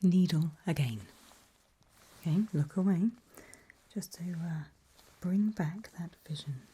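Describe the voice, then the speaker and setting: A woman whispering gently and reassuringly to a patient in an ASMR medical roleplay, close to the microphone. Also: on the low side, takes it slowly, slightly rough. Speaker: ASMR performer playing a back-alley eye surgeon.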